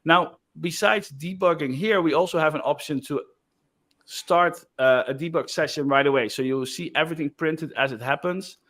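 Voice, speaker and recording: A man giving a casual screen-share demo of software, loose and chatty with frequent fillers. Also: fairly high pitch, moderate pace. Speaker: software developer presenting.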